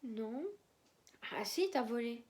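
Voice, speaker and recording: Young woman, fairly high voice, rambling casual vlog story. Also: lively intonation, takes it slowly, a little mellow. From German-speaking Belgium. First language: German. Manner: rambling, repeats herself, hesitant. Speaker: vlogger.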